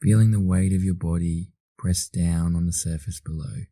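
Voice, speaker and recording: Very deep, dark-voiced Australian man guiding meditation. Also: fairly even intonation, dry recording, clean studio sound. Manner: slow, soothing, with long pauses. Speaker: guided meditation instructor. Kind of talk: guided meditation narration.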